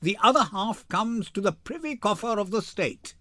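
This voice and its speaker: A man voicing a stern young woman disguised as a learned judge in a dramatic Shakespeare reading, measured and declamatory. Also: high-pitched, animated, bright, forceful tone, dry recording. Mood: solemn, formal.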